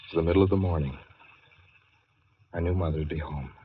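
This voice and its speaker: A man's voice: sits low, a little fast, very noisy, natural voice. An actor playing a haunted, frightened young man in a 1940s radio drama, dramatic and nervous in manner. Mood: haunted, brooding. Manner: brooding, dramatic, breaking into nervous stammering. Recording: muffled old radio recording with music.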